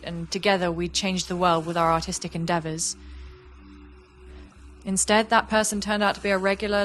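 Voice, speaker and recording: A woman's voice: mid-range voice, fairly bright tone, slight background noise, natural voice. A woman playing a reflective young artist in an audio play, speaking in an earnest, confessional way. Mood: reflective, candid. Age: young adult. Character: young woman artist, reflective, warm, wistful about an old friend. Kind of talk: audio play monologue and dialogue.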